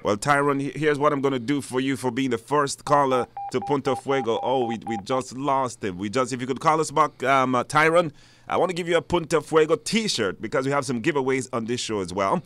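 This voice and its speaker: A man's voice: mid-range voice, fairly quick, a bit bright, dry recording. A man with a Belizean accent hosting a live radio call-in show, putting questions to callers. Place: radio studio.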